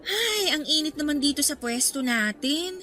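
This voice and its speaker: A woman's voice: high-pitched, expressive, normal speed, bright, forceful tone, soft background music. A woman acting a good-natured, teasing young student in a radio drama, with a Filipino accent and girlish, playful delivery. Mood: teasing, amused.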